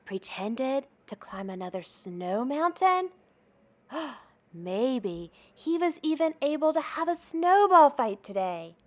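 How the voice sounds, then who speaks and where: A woman with a fairly high voice reads a picture book to little children. Her delivery is very animated and unhurried, with drawn-out words for emphasis, in the expressive manner of a storytime host. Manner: expressive, drawn-out words, asks listeners questions. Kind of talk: animated read-aloud for young children.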